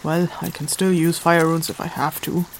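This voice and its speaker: A woman's voice: sits low, little pitch movement, noisy background. A woman acting a practical, commanding but caring rune-wielding traveller in a fantasy audio drama. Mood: muttering, grumbling. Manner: brisk, commanding.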